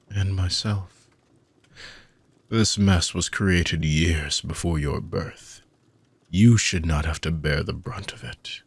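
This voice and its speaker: A man playing an ancient, weary dark mage villain in a fantasy audio roleplay, husky and gravelly, speaking theatrically with long dramatic pauses. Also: low-pitched, dry recording, exaggerated voice. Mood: regretful, tender. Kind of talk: fantasy audio roleplay monologue addressed to listener.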